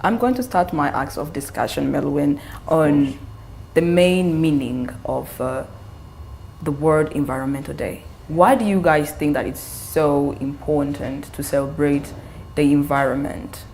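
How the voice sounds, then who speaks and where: Woman panel host, low voice, questioning, faint background noise. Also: a little slow, a little mellow. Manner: interviewer asking questions, frequent backchannels. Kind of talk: hosting a panel discussion.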